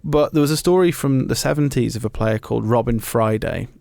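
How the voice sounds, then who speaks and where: A man's voice: mid-range voice, a little fast, gravelly, a little mellow, no echo, clean studio sound. A man presenting a podcast in an informal, discursive and thoughtful conversational way. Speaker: podcast host.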